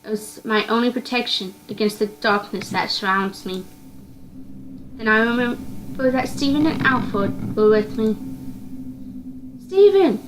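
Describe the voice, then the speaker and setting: A woman's voice: on the high side, steady tone, normal speed, fairly bright tone, low-quality recording. A woman reading scripted narration from a visual novel aloud, occasionally stumbling and repeating words. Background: clear background music.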